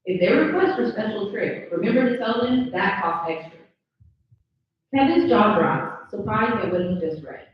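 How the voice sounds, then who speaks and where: A woman's voice: mid-range voice, lively intonation, normal speed, a little hiss or hum. A woman reading stage directions as the narrator of a live script table read. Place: live event in a room. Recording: very echoey room, distant, slightly dull.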